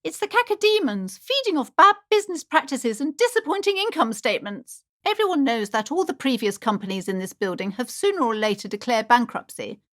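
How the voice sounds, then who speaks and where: An actress playing an anxious, superstitious shopkeeper in a video game, speaking in a theatrical, formal and ominous way. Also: female voice, sits high, very animated, brisk, hardly any pauses, a bit bright. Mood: ominous, earnest. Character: anxious, superstitious shopkeeper woman, dramatic and doom-laden. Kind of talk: video game character dialogue.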